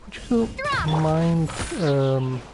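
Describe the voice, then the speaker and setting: A man giving casual, halting game commentary on a stream, low-key and dryly grumbling. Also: expressive. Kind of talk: casual gameplay commentary, some reading aloud.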